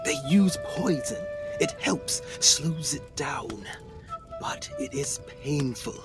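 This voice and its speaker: A man's voice: a little high, animated, over loud music. A man playing a haunted, guilt-ridden explorer doctor in a radio play, telling his story with theatrical intensity. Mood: haunted, grave. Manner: theatrical, intense, halting delivery.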